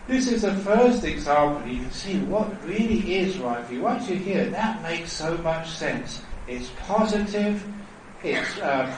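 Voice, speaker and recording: Male monk teacher, slow and animated, storytelling at a retreat; echoey, distant temple hall sound. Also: a little high, a little hiss or hum. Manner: storytelling, playful and warmly persuasive. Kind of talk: dharma talk at a retreat.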